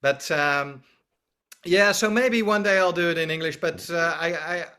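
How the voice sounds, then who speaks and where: Halting Dutch-accented man. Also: a little high, bright, forceful tone, clear, resonant tone. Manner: halting, many restarts and trailing phrases. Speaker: podcast guest, music school founder. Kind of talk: casual conversational interview.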